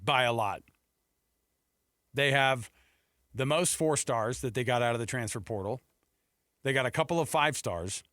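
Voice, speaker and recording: A man's voice: medium pitch, moderate pace, long pauses, fairly bright tone, no echo, clean studio sound. An American man co-hosting a sports talk show, chatting informally and rambling through his points with conversational asides.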